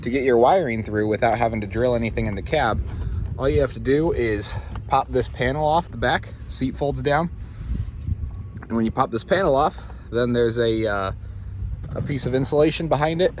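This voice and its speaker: A man giving an informal how-to walkthrough as a vlogger, thinking aloud in a conversational way. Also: medium pitch. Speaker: off-road vehicle vlogger. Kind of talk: casual how-to walkthrough of a vehicle install. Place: in and around a parked truck outdoors. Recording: wind and outdoor vehicle noise. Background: wind, outdoor and vehicle noise.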